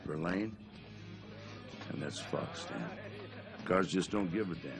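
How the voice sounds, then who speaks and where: An actor playing a blunt, menacing ex-convict in a comedy film, with a gravelly voice and a threatening way of talking. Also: male voice, low voice, slow. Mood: menacing deadpan. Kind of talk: live-action comedy film acting. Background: music.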